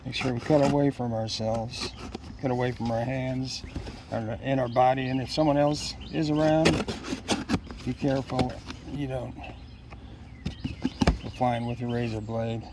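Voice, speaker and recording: A man with a mid-pitched voice does a casual, unscripted unboxing narration. He talks haltingly, as if thinking out loud to himself, and clear background noise runs under his voice. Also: fairly even intonation. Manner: halting, musing aloud to himself. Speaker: product unboxer.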